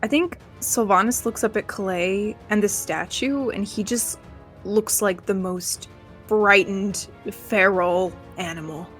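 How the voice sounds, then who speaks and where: A woman's voice: mid-range voice, measured pace, fairly bright tone, faint music behind. A woman playing a tabletop game, reacting eagerly to her dice rolls. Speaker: player.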